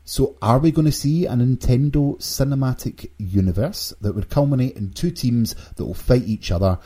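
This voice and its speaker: A man's voice: mid-range voice, moderate pace, warm tone, slightly rough. A Glaswegian man hosting a casual pop culture podcast with jokey banter. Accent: Glaswegian Scottish. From Glasgow, Scotland. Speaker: podcast host.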